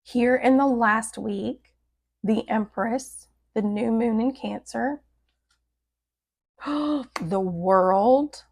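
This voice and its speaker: Hoarse raspy lady doing a chatty tarot reading, kinda gravelly, says "right?" a lot. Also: a little high, extremely slow, long pauses, darkish tone. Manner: chatty, often adds "like" and "right?". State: hoarse from a cold. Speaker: tarot reader. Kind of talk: casual spoken tarot forecast.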